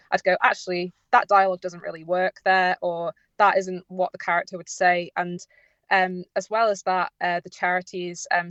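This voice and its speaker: A woman's voice: fairly low pitch, a bit bright, dry recording, lo-fi sound. A woman giving informal, unscripted radio interview answers as a drama writer, hesitant and often correcting herself as she goes. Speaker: audio drama writer and producer, interview guest.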